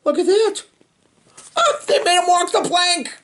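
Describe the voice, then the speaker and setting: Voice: very high-pitched, measured pace, fairly bright tone. A storybook reader reading aloud to children in a theatrical, playful way with chatty asides.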